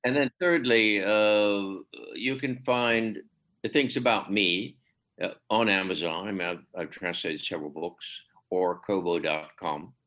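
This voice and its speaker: A man with a fairly low voice talks through a podcast interview as a literary translator. He hesitates often, fills gaps with "uh" and restarts his sentences, then jumps up in pitch to stress a point. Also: measured pace, fairly bright tone, low-quality recording. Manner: hesitant, many fillers and restarts, rising emphatic pitch. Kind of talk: conversational interview.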